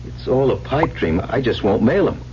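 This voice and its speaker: An actor playing a wary, desperate small-time schemer in a radio drama, with a blunt, slangy way of talking. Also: male voice, medium pitch, lively intonation, normal speed, darkish tone, close to an everyday voice. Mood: uneasy, defiant. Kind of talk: 1970s radio drama acting. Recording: dull, hissy old radio recording.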